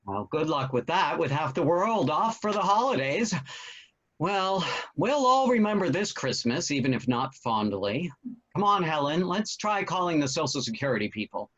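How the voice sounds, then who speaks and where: A man's voice: a little high, sing-song, moderate pace, fairly bright tone. An actor playing a worried but courteous older husband and father in a table read. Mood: resigned, courteous. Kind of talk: stage comedy-drama table read. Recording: dull lo-fi online call audio.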